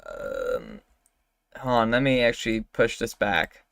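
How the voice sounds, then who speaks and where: A man's voice: lowish voice, huge pitch swings, measured pace, creaky, fairly bright tone, dry recording, studio quality. A man hosting a live weather forecast stream, talking casually with many fillers and restarts, his voice still recovering after he lost it. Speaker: weather livestream host.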